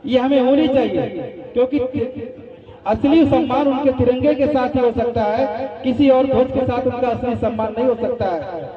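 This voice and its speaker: A man's voice: very high voice, normal speed. A man giving an earnest public speech at a commemoration, appealing to the audience and making pointed remarks. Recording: muffled echoey hall from far off, crowd behind.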